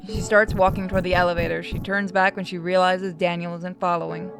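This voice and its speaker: A woman's voice: on the low side, level tone, brisk, gravelly, music in the background. A woman narrator reading the story and stage directions of a visual novel aloud.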